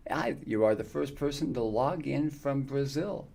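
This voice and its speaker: A man in his fifties, chatting casually and warmly with viewers on his live gaming stream, rambling with many fillers. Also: medium pitch, normal speed, creaky. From United States. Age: 50s.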